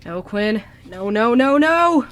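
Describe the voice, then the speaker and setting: A woman's voice: on the high side, lively intonation, takes it slowly, a bit bright. A woman playing an anxious young male time traveler in an amateur radio drama, stammering and hesitant. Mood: distressed. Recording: noisy amateur radio show recording.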